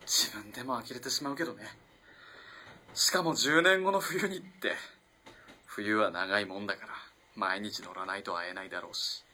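Casting: male actor as lovestruck young student for a period radio drama; bright, slightly rough voice, earnest and halting. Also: lowish voice. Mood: wistful, rueful. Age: young adult. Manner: earnest, halting, swinging between hushed and impassioned. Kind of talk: dramatic radio play acting.

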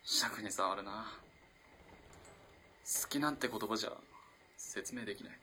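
An actor playing an earnest, lovestruck young student in an early twentieth-century Japanese radio play, halting and moving between hushed and impassioned delivery. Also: male voice, lowish voice, slight background noise. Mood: subdued, wistful. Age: young adult. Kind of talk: dramatic radio play acting.

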